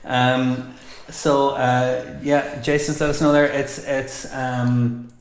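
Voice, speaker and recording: A man's voice: medium pitch, flat delivery, slow. A man with a neutral Irish accent hosting a casual chat, thoughtful and hesitant with many fillers. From Ireland. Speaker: host. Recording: roomy echo.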